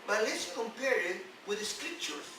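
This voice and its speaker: A man who is a non-native English speaker, a rabbi giving an emphatic sermon in halting phrases with repeats and rhetorical questions. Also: very high-pitched, lively intonation. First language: not English. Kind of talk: sermon on scripture. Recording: distant, very echoey, noisy room recording.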